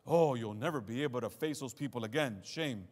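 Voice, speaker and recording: A taunting devil acted out by a male preacher murmurs mocking accusations. The voice sinks low and hushed, echoing through a big reverberant hall in the middle of a sermon. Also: fairly quick, lightly acted. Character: taunting devil whispering guilt and shame.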